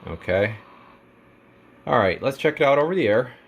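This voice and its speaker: A man's voice: mid-range voice, lively intonation, moderate pace, dull audio. A man casually demonstrating a product as a technician, explaining step by step in informal language with trade jargon. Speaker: radio technician presenting a radio for sale.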